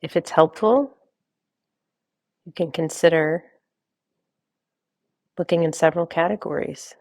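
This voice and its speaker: A woman leading a guided meditation as a coach, giving gentle instructions and unhurried invitations with pauses. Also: deep voice, no echo.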